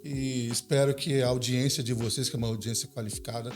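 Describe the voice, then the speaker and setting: A man in his forties with a São Paulo accent, a pastor telling his life story in a relaxed, chatty podcast conversation, laughing easily. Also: mid-range voice, fast talker, over music. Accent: Paulistano Brazilian Portuguese. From São Paulo, Brazil. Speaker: pastor and seminary director.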